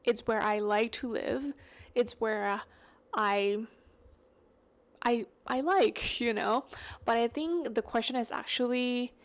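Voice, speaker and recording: Young thai woman yapping on her podcast, kinda high voice, lots of um and like. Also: slow, long pauses. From Thailand. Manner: rambling, lots of fillers and self-corrections. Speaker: podcast host. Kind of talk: casual solo podcast monologue.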